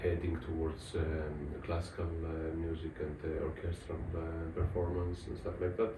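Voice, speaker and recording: A man's voice: very deep voice, monotone, moderate pace. A man in his thirties with a Greek accent, answering interview questions casually and searching for his words in English. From Thessaloniki, Greece. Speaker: interviewee, musician. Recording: distant, echoey, clearly noisy room.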